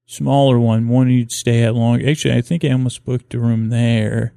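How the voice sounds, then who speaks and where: A man hosting a bedtime podcast, talking in a halting, meandering, improvised monologue with a creaky voice that drifts into tangents. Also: mid-range voice, steady tone, dark tone, no room sound, clean studio sound. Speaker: host of a bedtime story podcast. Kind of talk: rambling, improvised sleep-aid monologue.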